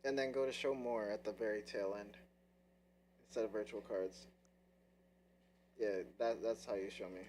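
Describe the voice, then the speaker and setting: A brash man talks on his stream, swearing freely and repeating his points. His voice is low and mellow. A faint hum sits behind him. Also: steady tone, moderate pace, pauses often. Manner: brash, profane, repeats himself. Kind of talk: casual gaming chat. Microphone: game controller mic.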